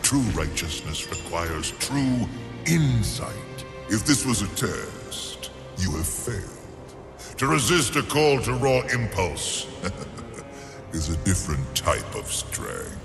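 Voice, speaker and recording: An actor playing an ancient, imperious underworld lord in a fantasy game, speaking in a grandiose, measured, contemptuous manner. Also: male voice, lowish voice, sing-song, vocal fry, heavily acted. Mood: disdainful. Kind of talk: theatrical fantasy game cutscene acting. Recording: long cavernous reverb, music underneath.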